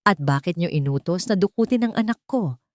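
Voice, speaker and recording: Woman acting an animated, anxious, defiant young mother. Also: lowish voice, fairly quick, no echo, studio quality, close to an everyday voice. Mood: angry, anxious. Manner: questioning, emotional. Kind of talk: Filipino radio drama acting.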